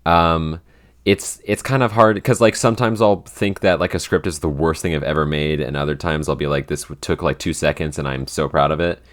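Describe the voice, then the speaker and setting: A man's voice: rumbling low, steady tone, a little fast. A young man chatting casually as a podcast host, rambling with many fillers and restarts. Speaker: podcast host and show writer. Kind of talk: casual chat.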